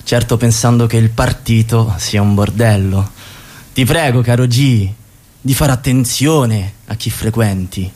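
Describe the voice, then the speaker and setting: Actor as cold, menacing male party boss, mid-pitched, imperious and accusing, radio theatre. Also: a little slow, darkish tone, lightly acted. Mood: cold, threatening. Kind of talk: Italian radio theatre acting.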